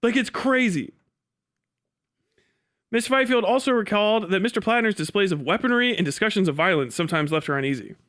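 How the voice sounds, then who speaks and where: A male livestream commentator with a high, sharp voice, talking casually and swinging widely in pitch. He reads aloud, then breaks off to riff in disbelief, swearing freely. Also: fairly quick. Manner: incredulous, foul-mouthed, animated; acts out mocking imagined dialogue. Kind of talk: casual reaction commentary, reading an article aloud and riffing.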